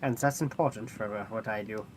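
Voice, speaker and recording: A man voicing a haughty, pragmatic mechanical creature who talks down to mortals in a theatrical, halting way during a tabletop roleplaying game. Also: on the low side, soft background music, close to an everyday voice. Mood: matter-of-fact. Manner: condescending, theatrical, hesitant phrasing.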